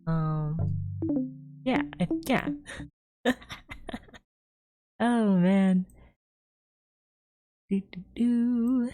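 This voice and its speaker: A woman's voice: mid-range voice, animated, very slow, long pauses, dark tone, soft background music. A woman livestreamer chatting casually and a little hesitantly to viewers in an easygoing way. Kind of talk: casual gaming stream chat.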